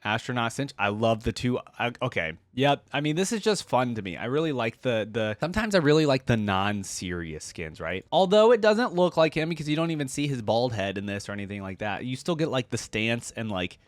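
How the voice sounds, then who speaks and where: Male gaming reaction host, mid-pitched and very expressive, informal commentary, dry close sound. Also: fairly quick, hardly any pauses, dry recording. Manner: informal, thinking out loud, addresses viewers as guys. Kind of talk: casual reaction commentary, some reading aloud.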